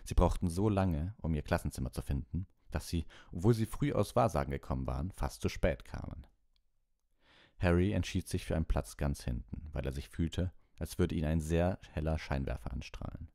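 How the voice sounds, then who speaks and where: A man with a very low, dark voice narrates a storybook. The sound is dry and close, with a soft, mellow tone suited to an audiobook. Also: moderate pace, no room sound. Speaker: audiobook reader.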